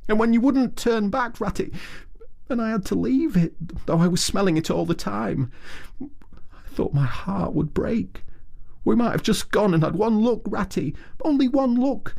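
A small, timid mole pleads in a high, strained, sobbing voice. The pitch sits far above the reader's own and swoops with every breath. The tone is thin and unlike a plain speaking voice. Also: male voice, lively intonation, a little slow, creaky, a little mellow, full character voice. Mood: grieving.

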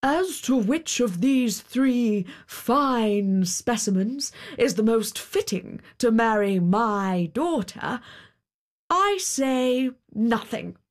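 Woman doing a stately storybook king, pompous, high voice, drags out every royal decree. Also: animated. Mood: regal, pronouncing. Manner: pompous, deliberate proclaiming. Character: pompous king, a father judging a contest. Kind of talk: storybook character voice.

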